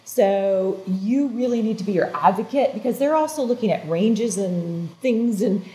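A woman's voice: mid-range voice, moderate pace, low-quality recording. Earnest woman explaining health tips. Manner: earnest, explanatory, animated hand gestures. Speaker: wellness strategist guest. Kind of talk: informative interview talk. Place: TV studio set.

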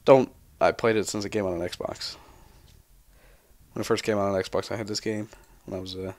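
A man's voice: on the low side, fairly even intonation, moderate pace, darkish tone. A young man giving casual gameplay commentary in a sweary, irreverent, rambling manner. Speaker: gamer, let's player.

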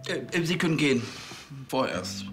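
An actor playing an irritable, officious police chief in a TV crime comedy, scolding with exasperated questions. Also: male voice, fairly high pitch, big pitch swings, normal speed, a bit bright, soft background music. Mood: weary.

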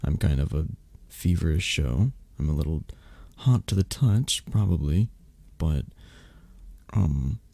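Man acting low, gravelly, drowsy mafia boss lover. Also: animated, dark tone, no echo, heavily acted. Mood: tender, teasing. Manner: slow, hesitant, murmured endearments with pauses. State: tired, drowsy. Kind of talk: intimate romantic ASMR roleplay. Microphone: close microphone.

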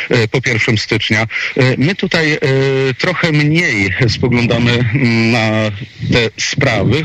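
A male radio correspondent gives live commentary in conversation. His pitch is average for a man. He is opinionated and wordy, often hesitating as he builds long sentences. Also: a bit bright, rough recording.

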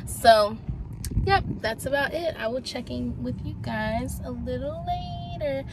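A woman's voice: high voice, unhurried, background noise. A young woman in her twenties, chatting to viewers in a casual travel vlog recorded on a phone held at arm's length. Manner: chatty, bubbly, addresses viewers as y'all. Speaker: vlogger. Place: car, then restaurant and shop.

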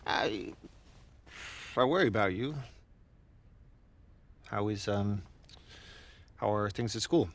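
A man's voice: lowish voice, slow, pauses often. An actor playing a concerned, awkward mentor in a cinematic video game, earnest and hesitant in his delivery. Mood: gentle, concerned. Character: concerned, awkward adult male mentor with a secret plan.

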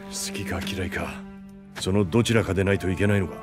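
An actor playing a gruff, guarded soldier in a video game cutscene, speaking in a terse, stoic, brooding manner. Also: male voice, low voice, a little fast, loud music behind the voice, natural voice. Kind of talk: dramatic game cutscene acting.

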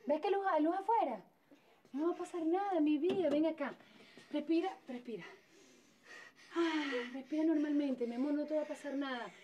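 An actress playing a lively, bold, motherly middle-aged woman neighbor who talks in a theatrical way. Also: high voice, moderate pace, a little hiss or hum, natural voice. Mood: soothing. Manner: bossy and soothing by turns, theatrical. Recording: music playing behind.

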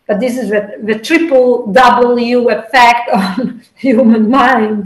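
Italian-accented woman on an echoey video call. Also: sits high. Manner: searching, qualifying phrasing with soft laughs. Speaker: interview guest, scholar. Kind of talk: reflective academic conversation. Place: small room at home.

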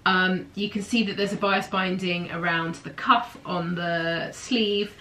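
Bunged-up nasal woman, 30s, chatty and excited, bright tense voice, home room camera mic. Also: lowish voice, unhurried, a little hiss or hum. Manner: enthusiastic, gushing, gestures a lot with her hands. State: nasal and bunged up from hay fever. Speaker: host of a sewing pattern show. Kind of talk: casual chatty review to camera. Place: small home room, indoors.

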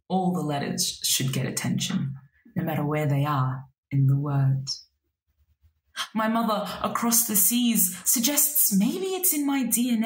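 A woman in her thirties, a native Arabic speaker, reading her own poem aloud in a slow, measured, reflective way at a desk webcam in a small room. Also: lowish voice, reverberant, poor audio. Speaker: poet. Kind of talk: spoken word poetry reading.

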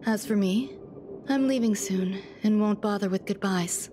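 A woman voicing an aloof, soft-spoken scientist in a video game, speaking in a calm, measured, detached way. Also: mid-range voice, background noise, close to an everyday voice. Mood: wistful, calm. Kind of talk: scripted video game voice acting. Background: game music.

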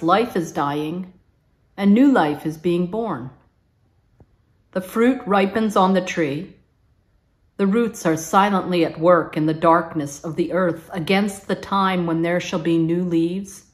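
A woman in her sixties giving a solemn, deliberate reading of a devotional poetic text. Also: on the low side. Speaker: congregation member, reader. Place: indoors at home, video recording by a piano.

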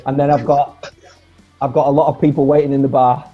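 A man in his forties chatting casually as a guest on a video call, relaxed and rambling, with plenty of fillers and restarts. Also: mid-range voice, brisk, slightly rough. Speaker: guest, former footballer. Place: home room, indoors. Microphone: earphone mic on a video call. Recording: dull lo-fi video call audio with faint music.

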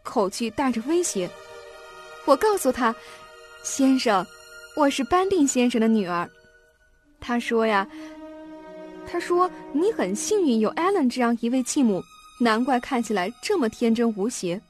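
A cheerful, naive young daughter in a radio drama. Her voice is high and her manner chatty and innocent. Soft music sits behind her. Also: animated, a little slow, pauses often. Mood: chatty, excited.

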